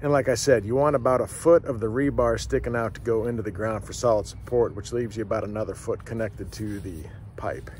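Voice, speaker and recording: A man, hosting a casual outdoor how-to video with a relaxed, folksy way of talking. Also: on the low side, a little fast, hardly any pauses. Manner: relaxed, folksy, repeats himself with fillers. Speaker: fishing channel host. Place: outdoors by a wooded riverbank. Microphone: camera on a tripod a few feet away. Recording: outdoor noise, traffic in the background.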